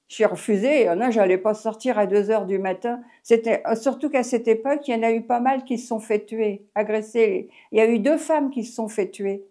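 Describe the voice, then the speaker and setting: A woman's voice: fairly high pitch, moderate pace, few pauses, dark tone. A French woman in her eighties, a retired taxi driver, telling her story in a rambling, chatty interview with many restarts. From France. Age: 80s. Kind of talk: oral history interview.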